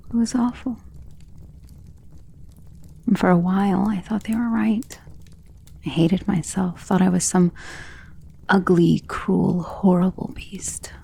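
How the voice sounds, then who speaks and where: A woman playing a centuries-old, tender and protective vampire in an intimate ASMR romance roleplay, speaking softly and earnestly, often in a whisper. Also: medium pitch, heavily acted. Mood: wistful, confiding. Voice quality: soft, breathy, often dropping to a whisper.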